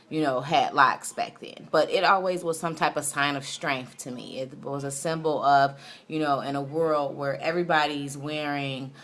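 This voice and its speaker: A woman in her late thirties with a low-pitched voice, speaking as a video blogger. She rambles in a candid, conversational way, reflecting on herself as she goes. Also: bright, forceful tone. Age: late 30s. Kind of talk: casual personal vlog, rambling chat.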